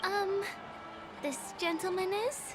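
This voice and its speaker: A sweet, cautious young game heroine with an extremely high voice. She is polite and a little hesitant. Music sits clearly behind her. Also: steady tone, slow. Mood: polite, shy. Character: gentle, polite, cautious young female stage performer. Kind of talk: video game voice acting.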